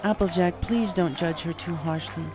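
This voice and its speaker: Man plays royal female pony, composed, measured, dignified, raised pitch. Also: music in the background, crowd noise, close to an everyday voice. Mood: calm, understanding. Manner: measured, matter-of-fact.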